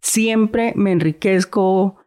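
A woman from Santander, Colombia, with a softened Santander accent, talking thoughtfully as a guest in a casual conversation. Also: medium pitch, slow. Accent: Santander Colombian Spanish, softened.

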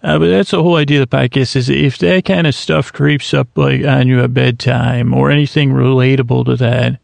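Gravelly man rambling into a close mic. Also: mid-range voice, steady tone, no room sound. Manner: meandering, self-deprecating, trailing off and restarting; slips into quick imagined dialogues. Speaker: podcast host. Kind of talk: rambling comic monologue.

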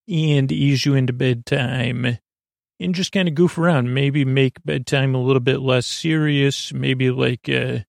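A man with a creaky voice hosting a sleep podcast, meandering haltingly through a goofy, self-deprecating bedtime monologue. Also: mid-range voice, no echo, clean studio sound. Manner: meandering, halting, trails off and repeats himself. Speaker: podcast host. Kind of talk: rambling bedtime monologue for falling asleep.

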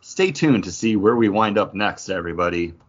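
A man chatting casually as a podcast host, with a playful, enthusiastic manner and a husky voice. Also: on the low side, animated, a little fast, highs cut off. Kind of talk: casual joking podcast chat.

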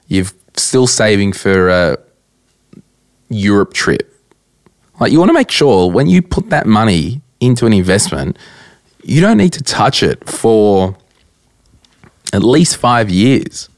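A young Australian man with a croaky voice hosting a casual solo podcast at his desk, answering listener questions bluntly and chattily. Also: on the low side, very animated, slow, pauses often, no room sound, clean studio sound. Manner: chatty, blunt, rambling with fillers. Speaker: podcast host. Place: at a desk, indoors.

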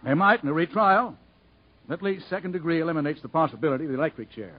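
An actor playing a cautious, reasonable country defense lawyer in a 1950s radio drama. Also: male voice, on the high side, fast talker, breathy edge. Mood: cautious, earnest. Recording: dull 1950s radio broadcast recording.

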